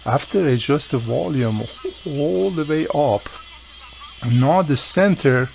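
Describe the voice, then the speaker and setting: A man's voice: on the high side, lively intonation, takes it slowly, creaky, a little mellow, a little hiss or hum. A man giving a casual, hands-on repair walkthrough, explaining informally step by step as he works. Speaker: radio repair hobbyist presenting his own how-to video.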